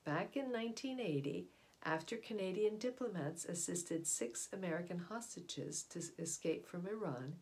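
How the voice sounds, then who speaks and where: Middle aged lady reading a kids book out loud, slightly low voice, deliberate and expressive, recorded at home. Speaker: read-aloud storyteller. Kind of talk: reading a children's nonfiction book aloud. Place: small indoor room at home, in front of wooden doors. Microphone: camera or device mic at about arm's length.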